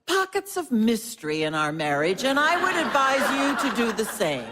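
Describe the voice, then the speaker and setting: A woman's voice: on the high side, very animated, moderate pace, noisy background. An actress playing an imperious, blunt older mother in an audio drama, haughty, dismissive and emphatic. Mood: haughty, evasive.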